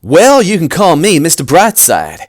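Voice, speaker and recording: A man's voice: on the high side, wildly swooping pitch, moderate pace. A man playing a wry, wordy, flippant detective in an amateur radio drama.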